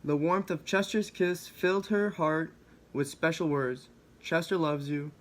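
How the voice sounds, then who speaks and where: Higher-pitched man reading a storybook. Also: steady tone, a little slow. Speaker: storybook co-reader. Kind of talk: children's story read aloud.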